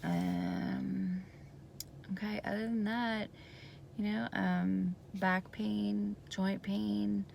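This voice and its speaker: Hoarse, raspy woman talking slowly. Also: medium pitch, animated, a little mellow, slight background noise. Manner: hesitant and rambling, many fillers. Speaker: vlogger giving a health update. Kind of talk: casual video diary.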